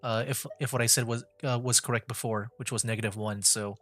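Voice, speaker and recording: A man with a medium-pitched voice streams a game. He comments casually and hesitantly, working things out aloud, with faint game music behind him. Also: fairly even intonation, a little fast, fairly bright tone.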